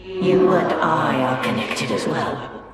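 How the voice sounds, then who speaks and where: An actor playing a mystic male elf prophet who speaks gravely. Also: a little high, expressive. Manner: grave, slow. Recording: echoey hall, from far off, loud music.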